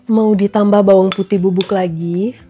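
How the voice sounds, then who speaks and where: Woman, 30s, stuffy nasal cold voice, mellow, chatty cooking vlogger. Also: medium pitch, fairly even intonation, normal speed, soft background music. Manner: chatty, addresses viewers often. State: recovering from flu. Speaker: vlogger and mother. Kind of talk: casual cooking vlog.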